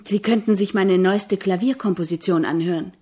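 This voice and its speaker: An actress playing an eager, admiring composition student in a radio drama, speaking in an earnest, searching, deferential way with a husky voice. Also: female voice, mid-range voice, normal speed, mellow, natural voice. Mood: eager, persistent. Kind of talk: German radio drama acting.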